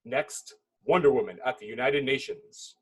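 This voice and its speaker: A man's voice: mid-range voice, normal speed, breathy edge. A man giving dramatic scripted narration, reading a film script's stage directions.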